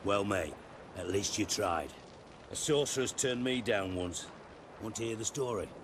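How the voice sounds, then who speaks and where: Male actor as burly middle-aged spymaster, low voice, sardonic, crude, theatrical, fantasy video game, noisy ambience. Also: a little slow, natural voice. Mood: wry, confiding. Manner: mocking, crude, wordy storytelling. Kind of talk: video game cutscene dialogue.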